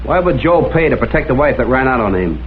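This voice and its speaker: An actor playing a hardboiled, sardonic detective in a dry, understated way, in the style of an old crime drama. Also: male voice, mid-range voice, very animated, fast, a little hiss or hum, lightly acted. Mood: menacing, dry. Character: tough, sardonic hardboiled male private detective. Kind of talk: mid-century hardboiled detective radio-style drama acting. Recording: tinny vintage broadcast with music behind.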